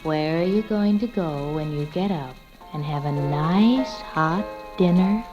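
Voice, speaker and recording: An actress playing a tender, coaxing dream sweetheart, intimate and cooing, in 1940s radio style. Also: female voice, low-pitched, unhurried. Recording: muffled old radio recording with music.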